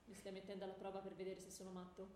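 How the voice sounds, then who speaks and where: A woman's voice: mid-range voice, monotone, racing, mellow, natural voice. A woman playing a glib, theatrical Hephaestus in a radio stage comedy, wordy, declamatory and mocking. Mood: wary, then amazed. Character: glib, theatrical player voicing Hephaestus, the axe-wielding smith god. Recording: echoey room, faint background noise.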